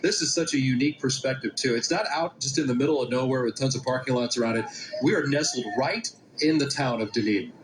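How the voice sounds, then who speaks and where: A man calls baseball play-by-play in a mid-pitched voice. He is on a live broadcast, with clear ballpark noise running behind his commentary. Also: fast talker, poor audio.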